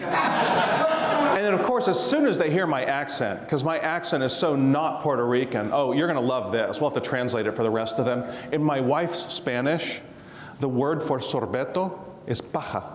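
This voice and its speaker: A man's voice: mid-range voice, expressive, moderate pace, background noise. A man, a tax attorney, telling stories and explaining tax rules to a live audience, laughing often. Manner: jokey storyteller, laughs often. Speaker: real estate tax attorney guest. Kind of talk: casual talk to a live audience. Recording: roomy hall reverb.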